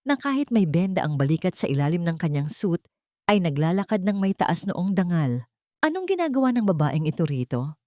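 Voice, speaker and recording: A woman narrating a drama story in an expressive, dramatic way. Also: lowish voice, dry recording, studio quality.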